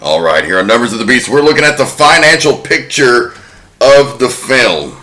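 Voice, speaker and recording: A man hosting a casual podcast chat about a film, leading the segments in a relaxed, chatty way. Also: medium pitch, normal speed. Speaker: podcast host.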